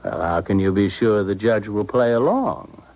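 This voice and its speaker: An actor playing a wary, doubting man in a 1970s radio drama, questioning and animated. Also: lowish voice, normal speed. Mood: doubtful, uneasy. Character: wary, doubting male accomplice with local pull. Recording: dull old radio broadcast.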